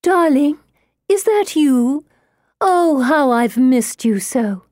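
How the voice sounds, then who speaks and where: A woman's voice: lively intonation, a little mellow, no echo, clean studio sound. A woman voicing a channelled spirit mother in a storybook, sweet, gushing and coddling. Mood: sweet, yearning. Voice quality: sweet, high. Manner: coddling, cooing, drawn-out. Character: sugary, gushing ghost mother channelled by a medium.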